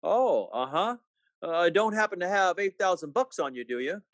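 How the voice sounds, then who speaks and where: A troubled small-town husband played by a man in an old-time radio drama. His voice sits a little high and moves around expressively, halting and folksy with a sardonic, skeptical edge. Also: very animated. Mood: bitter, despairing. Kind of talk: 1940s-style radio play acting.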